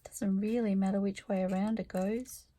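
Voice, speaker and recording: Lady in her craft studio losing her voice, thinking out loud real quietly, mellow sound. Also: mid-range voice, fairly even intonation, normal speed. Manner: quiet, murmured asides to herself while working. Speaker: craft vlogger. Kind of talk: soft-spoken craft-along commentary, thinking aloud.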